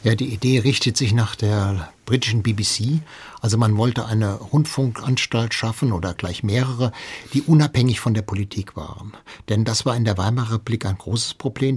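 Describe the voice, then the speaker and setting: A man speaks as an expert guest, explaining things in a radio interview. His voice is husky and on the low side. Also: a little mellow.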